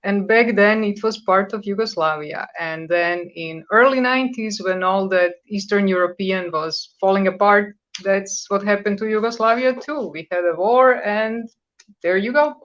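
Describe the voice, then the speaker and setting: A woman's voice: mid-range voice, moderate pace, a bit bright, muffled recording. A Croatian-born woman in her fifties, a native Croatian speaker, answering as a guest in a radio interview with frequent hesitations. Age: 50s. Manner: conversational, searching for words, with hesitations.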